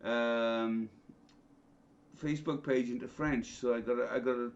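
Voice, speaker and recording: A man's voice: mid-range voice, steady tone, measured pace. A middle-aged man telling blunt, sweary anecdotes in a casual group chat. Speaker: guest telling his own story. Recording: dull lo-fi online call audio.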